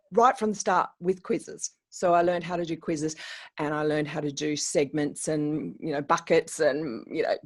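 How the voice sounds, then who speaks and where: Chatty middle-aged Australian-raised woman. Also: on the low side. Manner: chatty, animated storyteller with frequent restarts and fillers. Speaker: guest, coach and educator. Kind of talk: podcast interview.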